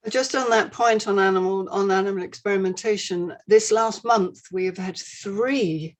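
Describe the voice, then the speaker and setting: British woman guest lecturer on a video call, steady explanatory delivery. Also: mid-range voice, normal speed. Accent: British English. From United Kingdom. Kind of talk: online seminar discussion.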